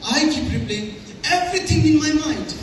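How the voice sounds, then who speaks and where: A woman's voice: high voice, expressive, normal speed. A woman in a dramatic stage reading, playing a numb, broken young victim. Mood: numb, troubled. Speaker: student teacher performing in a readers' theatre. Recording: very echoey hall, distant mic, faint noise.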